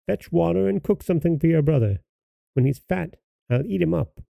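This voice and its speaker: A man's voice: on the high side, brisk, gravelly, mellow, no echo, studio quality, pitched above the performer's own voice. A man voicing a wicked old witch in a storybook tale, with a bossy, jeering manner. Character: wicked old witch, falsely sweet, scolding and menacing.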